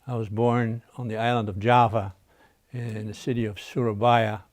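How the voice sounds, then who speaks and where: An older man born in the Dutch East Indies and raised in the Netherlands, a guest in a casual interview. He looks back on his past, halting now and then with fillers. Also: lowish voice, level tone.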